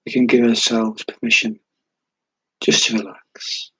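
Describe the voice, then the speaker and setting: A man leading a guided meditation in a calm, soothing, unhurried way, with a soft, breathy voice and long pauses. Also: on the low side, no room sound, poor audio. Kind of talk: guided meditation, slow spoken instruction.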